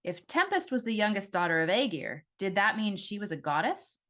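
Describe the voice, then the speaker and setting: A woman reading her own fiction audiobook as an expressive first-person narrator. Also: medium pitch, brisk.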